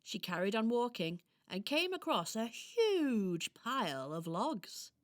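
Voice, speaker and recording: A woman narrating a scripted children's radio story in a deliberate storytelling manner. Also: a little high, big pitch swings.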